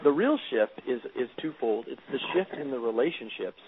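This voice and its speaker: A man's voice: medium pitch, expressive, normal speed. A man interviewed as a guest author, explaining earnestly and often restarting his sentences. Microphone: phone. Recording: tinny phone line with faint hiss.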